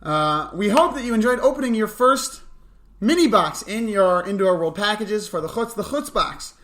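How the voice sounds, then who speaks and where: A man's voice: sits high, expressive, moderate pace, fairly bright tone. A man co-hosting a morning show, giving casual, unscripted announcements with wry, joking asides. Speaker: camp morning show co-host.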